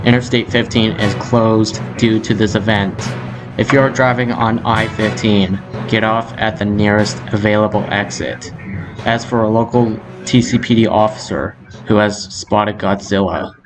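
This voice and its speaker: A man's voice: on the low side, poor audio. A man reading a scripted breaking news bulletin as an announcer. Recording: music bed behind the voice. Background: news theme music.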